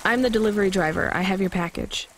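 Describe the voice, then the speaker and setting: A woman's voice: mid-range voice, quick pace, background noise, close to an everyday voice. A woman voicing a wry, level-headed young delivery driver in a video game, with a dry, questioning manner. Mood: guarded. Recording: music behind the voice.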